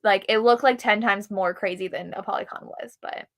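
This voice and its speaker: A woman's voice: mid-range voice, normal speed. A woman co-hosting a live book readalong show, chatting enthusiastically with a friend about authors and events. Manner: enthusiastic fan chatter, laughs easily. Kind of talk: casual chat between friends.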